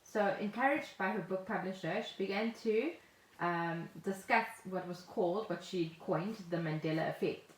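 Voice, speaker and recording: A woman's voice: lowish voice, normal speed. A South African woman chatting casually as a podcast co-host, telling stories in a jokey way. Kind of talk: casual chat. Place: at home, indoors. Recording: roomy echo, faint noise, remote call.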